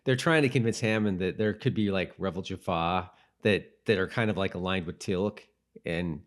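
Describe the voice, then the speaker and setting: A man co-hosting a podcast in casual chat, talking in an informal, halting way and often repeating his words. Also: low voice. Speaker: podcast co-host.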